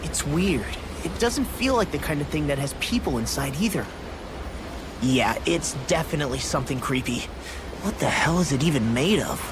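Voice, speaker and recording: An actor playing a brash, sarcastic young fighter in a video game, talking in blunt slang over music. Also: male voice, on the high side, lively intonation, normal speed, noisy background, natural voice. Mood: wary, puzzled. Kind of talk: English video game cutscene acting.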